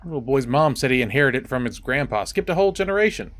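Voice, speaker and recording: A man's voice: mid-range voice, rapid-fire, slight background noise. A man hosting a horror game, mixing playful, wisecracking commentary with story reading. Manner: animated, wisecracking.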